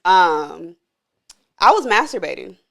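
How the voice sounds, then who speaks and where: A woman's voice: fairly low pitch, sing-song, slow pace, creaky. A thirty-year-old woman hosting a podcast, chatting frankly with frequent laughter and swearing. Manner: frank, giggly, swears freely. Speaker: podcast host. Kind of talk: casual chat with drinking game.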